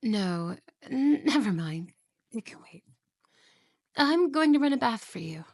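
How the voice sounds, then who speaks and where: A woman's voice: fairly high pitch, very animated, vocal fry, no room sound, clean studio sound, heavily acted. Woman voicing a whispery, menacing jealous wife. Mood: suspicious, then sweetly possessive. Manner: soft, slow, whispery, with giggles. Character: possessive jealous young wife, sweetly menacing. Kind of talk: ASMR roleplay audio.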